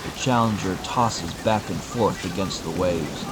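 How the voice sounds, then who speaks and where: A man's voice: low-pitched, a little slow, very noisy. A man narrating an adventure audio drama in a scripted, dramatic storytelling style. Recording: rain and music under the voice. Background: music and rain.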